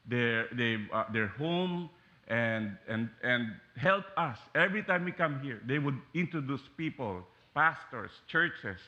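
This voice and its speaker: Filipino man preaching, bright and lo-fi. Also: medium pitch. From Philippines. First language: Taglish. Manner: animated, jokey, frequent fillers and restarts. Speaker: guest pastor. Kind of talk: sermon. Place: church sanctuary.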